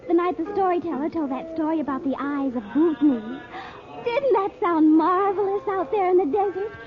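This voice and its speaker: A woman's voice: very high voice, moderate pace. A woman playing an eager, dreamy, chatty teenage girl in an old-time radio serial. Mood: dreamy, wistful. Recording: muffled old radio recording, music behind.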